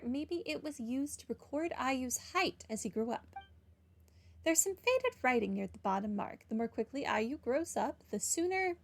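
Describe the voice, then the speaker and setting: A woman with a fairly high, lively voice and a gravelly edge, giving casual game commentary. She moves between offhand remarks and reading aloud, with faint music behind her. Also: slight background noise. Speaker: game streamer.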